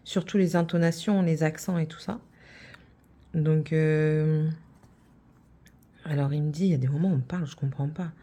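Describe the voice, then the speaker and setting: Low mellow-voiced Frenchwoman chatting. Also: steady tone, normal speed, pauses often. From France. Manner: rambling, many fillers and restarts. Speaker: craft channel host. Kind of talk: casual chatty monologue.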